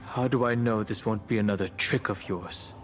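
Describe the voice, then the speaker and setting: A man's voice: fairly low pitch, fairly even intonation, moderate pace, natural voice. A man voicing an earnest, resolute young warrior companion in a video game, with serious, plain-spoken delivery. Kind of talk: dramatic video game voice acting. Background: game music.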